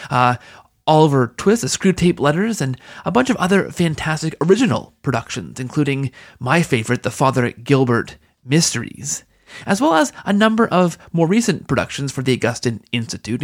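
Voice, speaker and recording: A man hosting an interview podcast, speaking in an earnest, enthusiastic way. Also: fairly high pitch. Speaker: podcast host. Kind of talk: podcast introduction and interview talk.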